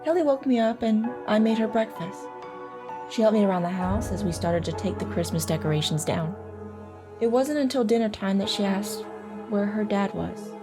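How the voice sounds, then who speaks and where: A woman's voice: mid-range voice, animated, normal speed, music in the background, close to an everyday voice. A woman playing a devoted soldier's wife and mother in an audio drama, telling her story tenderly and reflectively. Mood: sad, reflective.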